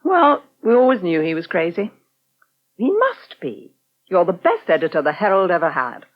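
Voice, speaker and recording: A forthright, loyal English wife in middle age in an old British radio soap. She speaks with lively swings of pitch and a probing, emphatic manner. The sound is a little muffled and dated. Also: moderate pace. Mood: indignant, disbelieving. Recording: dull, band-limited old radio recording.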